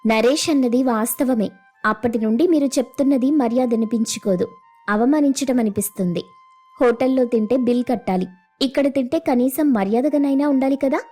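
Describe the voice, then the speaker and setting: A woman's voice: a little high, fairly quick, warm tone, soft background music, natural voice. A woman voicing a firm, reproachful young woman of the household in a moral story cartoon.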